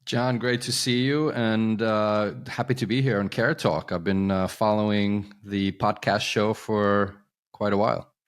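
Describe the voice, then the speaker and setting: Middle-aged man explaining in a relaxed way. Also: lowish voice, unhurried, clean tone. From Ukraine, raised in New York and New Jersey. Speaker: guest, company co-founder. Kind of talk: conversational interview.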